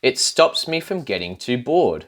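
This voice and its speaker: A man's voice: medium pitch, sing-song, a little slow, voice pushed up. A man voicing an eager storybook swordfish who hunts, speaking with boastful relish.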